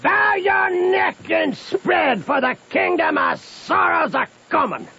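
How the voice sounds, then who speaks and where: A man's voice: extremely high pitch, big pitch swings, slow, gravelly, pushed and bright, raised voice, exaggerated voice. An actor playing a swaggering, quarrelsome raftsman who proclaims grandiose boasts in a bombastic old-time radio style. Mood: grandiose boasting. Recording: muffled old radio recording.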